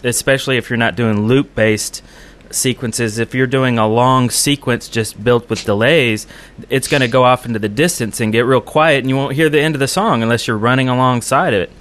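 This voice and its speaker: A man's voice: mid-range voice, fairly bright tone, clear, resonant tone. A man hosting a gaming podcast in casual conversation, explaining his ideas in detail. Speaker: podcast host.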